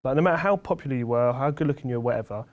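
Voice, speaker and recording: A man's voice: mid-range voice, animated, racing. A young man co-hosting a podcast, reflective and asking probing questions in casual chat. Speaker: podcast co-host.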